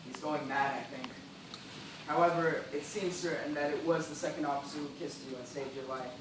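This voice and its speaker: An actor playing a weary, formal gentleman castaway in an amateur stage play, declaiming with stubborn reason and despair. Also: fairly high pitch, steady tone, a little fast, natural voice. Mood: grave, uneasy. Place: theatre stage, recorded from audience. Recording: echoey stage, distant mic, noisy.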